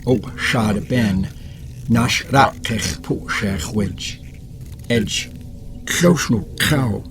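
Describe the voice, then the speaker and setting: A man acting in an audio drama, speaking with a very slow, weighty delivery. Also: fairly low pitch, background noise.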